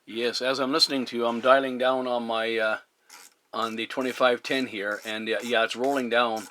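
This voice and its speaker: A man chatting as a CB radio operator in a measured, courteous way. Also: mid-range voice, flat delivery, bright, forceful tone, slight background noise. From Newfoundland, Canada. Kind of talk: casual radio conversation.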